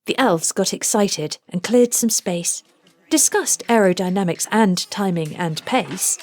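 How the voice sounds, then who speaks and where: A woman narrating a children's storybook aloud, with deliberate, rhythmic delivery of rhymed phrases. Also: mid-range voice. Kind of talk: scripted storybook read-aloud.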